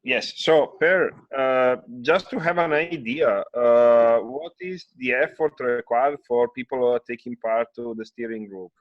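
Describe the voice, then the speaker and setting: A man speaking up in a conference call meeting with deliberate, halting phrasing, heard through dull, muffled call audio. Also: mid-range voice, dry recording. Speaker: meeting participant. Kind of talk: meeting discussion.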